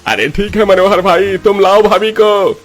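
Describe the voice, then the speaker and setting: An excitable rustic neighbour, played by a man, speaking in a raised, hurried storybook voice. Music plays behind him. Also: high-pitched, voice pushed up. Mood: agreeable. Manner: hurried, alarmed.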